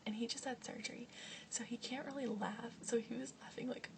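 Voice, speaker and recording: A young woman whispering softly as she chats through a list of questions, with frequent ums and likes. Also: medium pitch, vocal fry, recorded from a distance, background noise. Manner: soft whispering, chatty, many ums and likes. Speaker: vlogger answering questions. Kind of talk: whispered ASMR Q&A.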